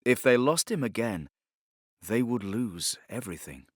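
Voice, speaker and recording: A man's voice: medium pitch, expressive, unhurried, dry recording, studio quality. A man narrating a novel as an audiobook, reading scripted prose in a dramatic storytelling style. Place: studio, close and dry.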